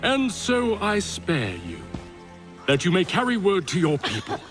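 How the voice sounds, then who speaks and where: Haughty, swooping, slow-talking villain. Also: male voice, high-pitched, wildly swooping pitch, a bit bright, faint music behind, heavily acted. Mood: contemptuous, lofty. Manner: haughty, slow, deliberate, condescending. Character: menacing, arrogant British colonial villain taunting a child. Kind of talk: dramatic video game cutscene acting.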